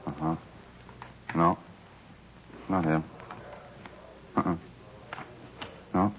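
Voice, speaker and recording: Folksy holdup victim, lowish voice, hesitant, repetitive, dull old radio drama sound. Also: male voice, flat delivery, drawn-out words, slight background noise. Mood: earnest. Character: hesitant, plain-spoken male working man, holdup victim. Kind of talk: 1950s radio drama acting.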